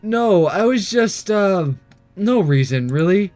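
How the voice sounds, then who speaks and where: A man's voice: high-pitched, expressive, slow, darkish tone. A man acts out an awkward teenage boy, the flustered hero of a visual novel. He is hesitant and nervous, with a lightish voice that moves around a lot. Faint music plays behind.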